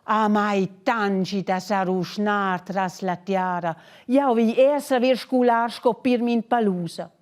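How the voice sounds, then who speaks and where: A woman's voice: medium pitch, slow pace, few pauses, darkish tone, close to an everyday voice. A woman voicing an indignant, complaining male worm who longs to fly, in a children's storybook. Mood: furious. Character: furious, grumbling male worm longing to fly.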